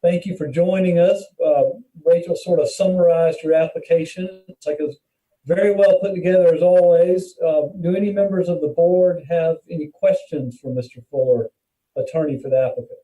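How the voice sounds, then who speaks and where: A man chairing a public meeting informally, speaking in a polite, halting way. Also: on the high side, fairly even intonation, dark tone. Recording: muffled, distant from the mic.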